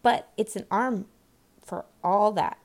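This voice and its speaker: A woman's voice: mid-range voice, takes it slowly, vocal fry, a little mellow. A woman voicing a meek little male servant in a storybook, speaking in a deferential, simple, earnest way. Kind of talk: storybook character voice.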